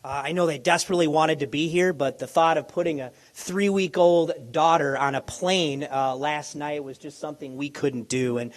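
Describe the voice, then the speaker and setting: A man speaks at a press conference microphone, his voice hoarse and worn from illness. It sits a touch higher than most men's, and he talks with eager, halting energy, often repeating words as he restarts. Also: fairly even intonation. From Minneapolis, USA. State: hoarse, lost voice. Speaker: college basketball coach. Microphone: handheld or podium microphone.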